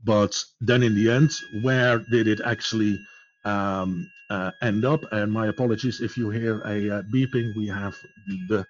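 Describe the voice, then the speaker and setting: A man with a Dutch accent presenting a product in a webinar, speaking haltingly with many fillers and repeated words. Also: fairly low pitch, fairly even intonation, dull audio, a little hiss or hum. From Netherlands.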